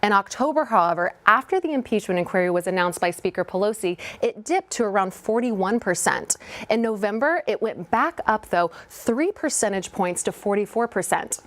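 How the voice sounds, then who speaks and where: A woman reporter presenting a scripted news explainer in a clear order. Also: mid-range voice, expressive, normal speed.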